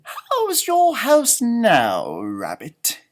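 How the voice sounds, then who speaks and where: A man acts out a wise old storybook owl. The bird is calm and a little sly, giving advice in slow, deliberate words with a lively lilt. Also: extremely high pitch, heavily acted. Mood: knowing.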